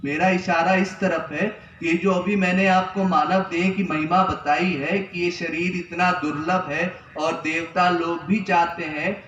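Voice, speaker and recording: A young man gives an earnest sermon, driving his points home with repetition and rhetorical questions. His voice sits high. The recording is distant and dull, with the echo of a temple hall around him. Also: fairly even intonation, unhurried, few pauses, fairly bright tone, slight background noise. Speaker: spiritual preacher. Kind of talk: Hindi religious discourse. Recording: echoey, distant, dull lo-fi sound.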